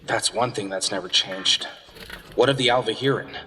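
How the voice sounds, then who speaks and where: A man's voice: fairly low pitch, normal speed, sharp tone. A man playing an inquisitive, skeptical young archivist in a fantasy audio drama, with a frank, questioning manner. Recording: long reverb, noisy background.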